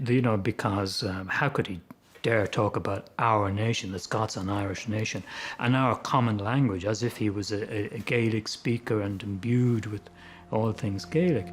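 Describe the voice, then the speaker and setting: A man, a historian, explaining things in an animated way in a documentary interview and thinking aloud as he goes. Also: fairly low pitch, husky.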